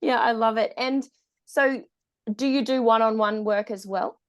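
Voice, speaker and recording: A woman's voice: on the high side, measured pace. A woman in her forties hosting a podcast over a video call, enthusiastic and affirming. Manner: enthusiastic, affirming, laughs often. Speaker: podcast host. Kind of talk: podcast interview. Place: bright room at home. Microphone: earphone mic on video call.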